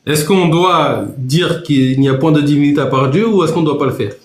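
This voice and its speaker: A man moderating a live online debate, asking questions in a relaxed way. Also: fairly high pitch, brisk, echoey room.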